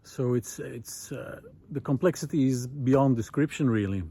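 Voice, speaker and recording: A man talking reflectively while walking on a forest path outdoors, pausing often with fillers and lifting his voice on key points. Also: medium pitch, warm tone, slightly rough. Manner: thoughtful, many pauses and fillers, rising emphasis on key points. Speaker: nature video essayist.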